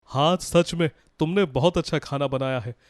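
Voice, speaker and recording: A man's voice: a little high, normal speed, no echo, close to an everyday voice. Appreciative young husband, dry sound, a man acting. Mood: pleased, impressed. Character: appreciative young husband praising his family. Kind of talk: dramatized Hindi moral storybook.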